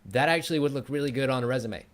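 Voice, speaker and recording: A man's voice: mid-range voice, very fast. A man hosting a casual conversational interview show. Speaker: host. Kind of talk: casual chat.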